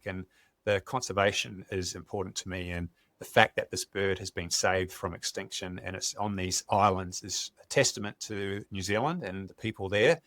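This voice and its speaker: Brief: Australian man, middle-aged, low voice, enthusiastic storyteller, dry close mic. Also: fairly bright tone, dry recording. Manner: enthusiastic, conversational, some restarts. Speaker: wildlife photographer guest. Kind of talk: relaxed podcast storytelling.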